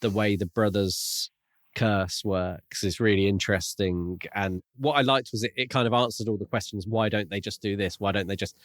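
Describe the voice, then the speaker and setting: Lower-voiced man, dry close recording. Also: fairly even intonation, normal speed, no echo. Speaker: podcast co-host. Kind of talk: casual book discussion.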